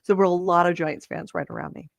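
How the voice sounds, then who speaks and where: A slightly hoarse woman co-hosting a podcast, chatting in a rambling, self-interrupting way. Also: fairly low pitch, fairly even intonation, quick pace, dry recording, lo-fi sound. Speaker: podcast co-host. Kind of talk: casual chat.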